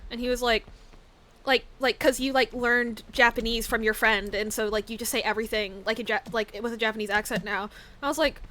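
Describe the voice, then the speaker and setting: Chatty girl streamer w a slight lisp, lots of likes and ums, pretty bright voice. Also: a little high, steady tone, brisk. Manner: rambling, lots of fillers and giggles. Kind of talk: casual chat while gaming.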